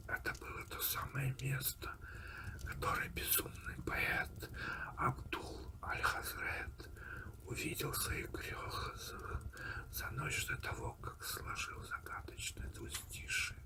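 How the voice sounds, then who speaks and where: A man narrating a story in a breathy, hushed ASMR whisper, deliberate and unhurried, with pauses between phrases. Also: mid-range voice, wildly swooping pitch. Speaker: audiobook reader and host of an ASMR channel.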